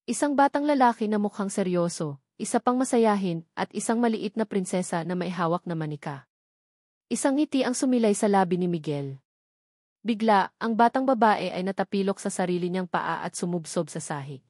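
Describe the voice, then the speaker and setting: A woman with a Filipino accent reads a dramatic story as narrator. Her pitch sits in the middle of the usual female range. The sound is dry, with little room in it. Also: expressive, normal speed, darkish tone, no echo.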